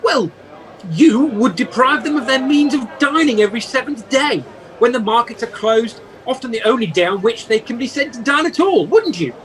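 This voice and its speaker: A woman's voice: fairly high pitch, lively intonation, moderate pace, natural voice, poor audio. A woman playing a troubled old male miser in an amateur radio play, earnest and full of challenging questions. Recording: faint noise on home call.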